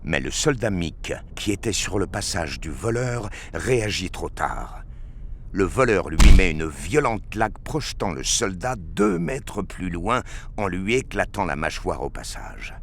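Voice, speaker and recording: A man narrating a scripted French audio drama in a measured, solemn storytelling manner. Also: low voice, lively intonation, slight background noise.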